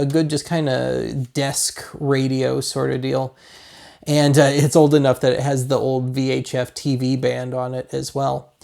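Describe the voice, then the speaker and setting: American man, thirties, creaky voice, rambling and conversational, casual hobby review. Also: medium pitch, darkish tone. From Colorado, United States. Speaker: host of a hobby video series. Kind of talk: casual product review chat.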